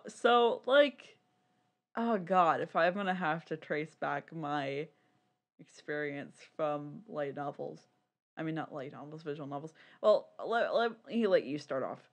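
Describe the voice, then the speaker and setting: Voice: mid-range voice, measured pace. A podcast co-host chatting casually in a rambling, candid, giggly way.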